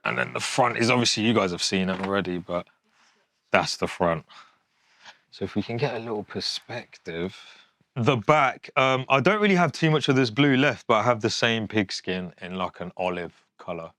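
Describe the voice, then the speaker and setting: A man's voice: fairly low pitch, animated, normal speed, a bit bright, no echo, studio quality. A man, talking casually and candidly in an informal, matter-of-fact way. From England, born in Yorkshire with family from Pakistan. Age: 20s. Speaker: fashion designer, documentary subject. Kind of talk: casual, unscripted talk to camera.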